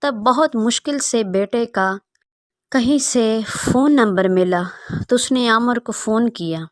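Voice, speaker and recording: A woman's voice: a little high, unhurried, no room sound, studio quality. A woman narrating a scripted story as its storyteller.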